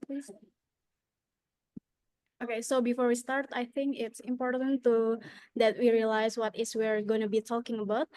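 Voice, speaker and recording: A woman's voice: on the high side, a little mellow, no room sound. A young woman with an Indonesian accent giving an earnest conference presentation over a video call, often starting with "okay".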